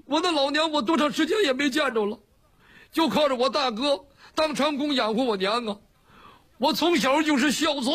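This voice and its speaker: A man storyteller voicing a rash, blustering, tearful outlaw devoted to his family, loud, blunt and pleading. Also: high-pitched, slightly rough, full character voice. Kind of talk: traditional Chinese storytelling performance.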